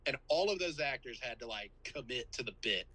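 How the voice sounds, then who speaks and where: A man's voice: mid-range voice, expressive, fairly quick, pushed and bright, poor audio. A man co-hosting a podcast, rambling casually about movies with lots of fillers. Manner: rambling, filler-heavy, self-deprecating. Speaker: podcast co-host.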